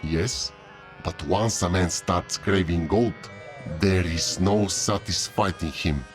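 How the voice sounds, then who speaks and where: An actor playing a gossipy tavern keeper who tells stories in a folksy, unhurried way in an audio drama. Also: male voice, low-pitched. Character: gossipy male innkeeper at a moon tavern. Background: music.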